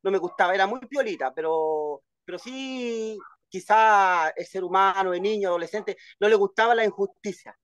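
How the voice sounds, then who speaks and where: A man's voice: high-pitched, moderate pace, a bit bright, clean tone, dry recording, clean studio sound. A man in his fifties with a Chilean accent, telling stories casually as an interview guest, often repeating words. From Valparaíso, Chile. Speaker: guest, poet. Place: at home, video call.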